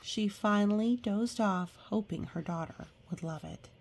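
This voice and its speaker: A woman reading a picture book aloud with chatty asides, reacting expressively and explaining things gently as if to young children. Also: mid-range voice, measured pace, creaky, slight background noise.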